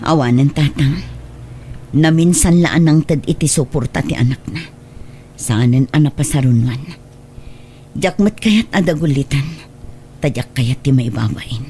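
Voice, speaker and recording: A woman's voice: low-pitched, a little slow, pauses often, mellow, a little hiss or hum. A woman playing a hurt, long-suffering single mother in a radio drama, pleading for her child in an Ilocano accent. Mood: sorrowful. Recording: music bed under the voice.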